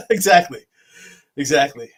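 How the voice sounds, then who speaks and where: A man's voice: on the high side, big pitch swings, slow pace. A man hosting an online talk show, closing out an interview with friendly thanks and frequent repeated words. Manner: warm-hearted, repeats words, says "brother". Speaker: show host. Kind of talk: casual interview chat.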